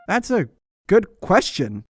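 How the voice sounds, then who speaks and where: A man voicing a puzzled, wry animal villager with a husky voice in a cartoon parody dub. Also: high voice, very animated, slow, soft background music, heavily acted.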